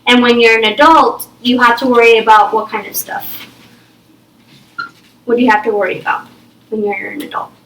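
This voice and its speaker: Young lady teacher, bright voice a little high, keeps asking okay? right?, echoey classroom from a distance. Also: steady tone, brisk, long pauses, a little hiss or hum. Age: young adult. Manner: checks in with "okay?" and "right?" constantly. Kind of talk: classroom think-aloud lesson. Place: classroom with a small group. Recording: echoey room, far from the mic.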